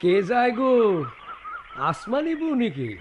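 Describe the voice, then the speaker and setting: A man's voice: extremely high pitch, big pitch swings, unhurried, noisy background, full character voice. An actor playing a teasing, knowing village man in a radio drama, with a rural Bangladeshi accent.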